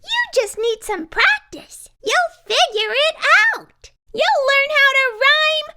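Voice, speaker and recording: A woman voicing a cheerful, encouraging young tin girl in a children's picture book, squeaky and insistent. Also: sing-song, slow pace, heavy background noise, exaggerated voice. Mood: upbeat, encouraging.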